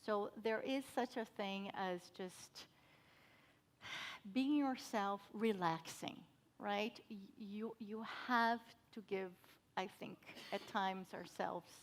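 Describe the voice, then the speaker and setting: A woman with a Brazilian Portuguese accent speaks on a conference stage through a handheld mic. Her voice sits in a middling pitch, and she talks earnestly, working through her points with frequent ums and restarts. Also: slow. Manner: earnest, many fillers and restarts. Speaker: panelist. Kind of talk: conference panel discussion.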